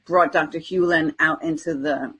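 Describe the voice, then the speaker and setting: A woman co-hosting a casual, conversational spiritual discussion podcast, with a slightly husky voice. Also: sits low, steady tone, moderate pace, a bit bright. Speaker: podcast co-host.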